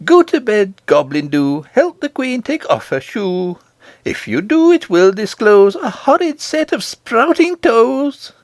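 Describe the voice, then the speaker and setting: A man's voice: very high-pitched, very animated, moderate pace, few pauses, a little mellow, no echo, pitched above the performer's own voice. A man voicing a cheeky, defiant boy in an impudent, sing-song storybook manner. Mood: taunting. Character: cheeky, defiant boy who taunts goblins in rhyme.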